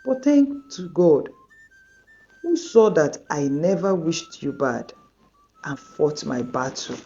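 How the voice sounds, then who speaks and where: A woman voicing a wronged woman who speaks quietly and calmly. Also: dull audio, faint music behind. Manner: low, quiet and measured. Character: calm wronged woman, dignified and forgiving.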